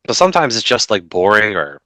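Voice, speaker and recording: A man in his thirties co-hosting a comics podcast from home over a video call, talking in an animated way with many restarts. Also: fairly low pitch, no echo, studio quality. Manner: animated, talks fast with frequent restarts. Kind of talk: casual chat.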